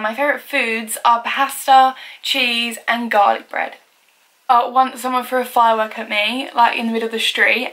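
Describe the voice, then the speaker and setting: A young woman from England chatting casually in a vlog, with a naturally loud voice and lots of "like" and giggles. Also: on the high side. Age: young adult. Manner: chatty, uses "like" constantly, giggly. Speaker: vlogger. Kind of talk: casual facts-about-me vlog.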